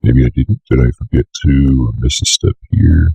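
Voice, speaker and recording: A young man with an extremely low, husky voice crackling with fry walks through software steps. He has a slight cold and talks casually, muttering asides as he goes. The recording is close and dry, at a desk. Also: moderate pace, dry recording. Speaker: software tutorial presenter. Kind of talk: casual screen-recorded walkthrough. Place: indoor room at a computer desk.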